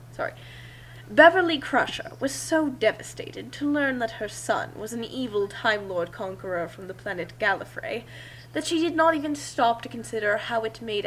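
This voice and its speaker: A woman's voice: on the high side, a bit bright, slight background noise, poor audio. A woman reading a story aloud in a theatrical way, with casual asides. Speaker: reader and commentator.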